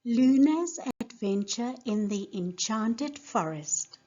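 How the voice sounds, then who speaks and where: A woman teacher giving a scripted lesson and narrating a storybook in a deliberate, simple way for young learners. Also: a little high, expressive, dark tone, dull audio. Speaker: English teacher reading a children's story.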